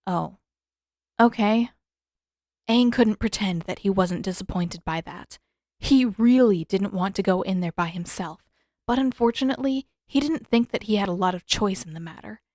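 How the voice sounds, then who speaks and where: A woman's voice: mid-range voice, normal speed, a little mellow, no room sound, studio quality. A woman reading scripted fiction aloud as the narrator of an audiobook-style story. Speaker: narrator, fan fiction author.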